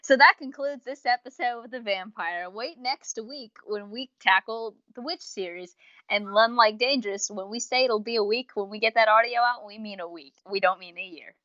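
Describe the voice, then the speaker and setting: A woman's voice: on the high side, moderate pace, sharp tone, dry recording. A young woman talks as a podcast co-host in a casual, chatty way. She wanders into tangents and cuts across her own sentences. The sound is close and dry, with a slightly muffled lo-fi quality. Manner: chatty, tangent-prone, self-interrupting. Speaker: podcast co-host reviewing audio dramas. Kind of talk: casual rambling review chat. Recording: dull, lo-fi close dry mic.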